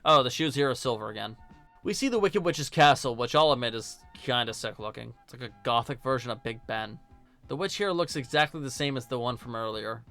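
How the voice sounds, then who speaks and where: A man's voice: medium pitch, fairly quick, pushed and bright. A man narrating a casual, opinionated film review as host, blunt and sarcastic, swearing now and then. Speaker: host, video essayist. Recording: music behind the voice. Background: music.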